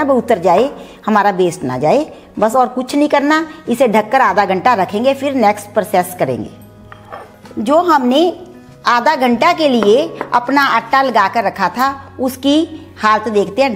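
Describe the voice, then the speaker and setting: A middle-aged woman in her home kitchen, chattily explaining each step of a recipe as she cooks. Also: fairly high pitch. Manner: chatty, explanatory, mixes English words. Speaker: cooking channel host. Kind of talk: home cooking demonstration.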